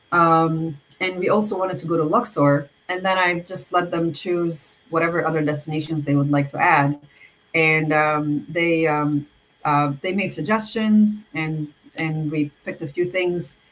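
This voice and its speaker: A woman in her thirties from Egypt, telling her story on a video call, halting with many fillers and restarts. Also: low voice, poor audio. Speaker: guest speaker.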